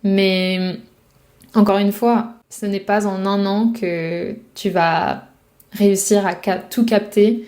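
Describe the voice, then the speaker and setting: Woman from France, language coach; soft, warm tone, reflective and personal, addressing the viewer directly. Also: medium pitch, fairly even intonation, slow pace. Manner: reflective, speaks directly to the viewer, casual fillers. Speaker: French speaking coach. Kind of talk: advice talk to camera.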